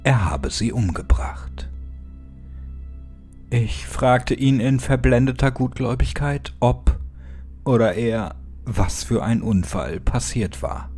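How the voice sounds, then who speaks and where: A man reading a scripted horror story as an audiobook narrator, measured and suspenseful, with dramatic pauses. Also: medium pitch, darkish tone, breathy edge. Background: music.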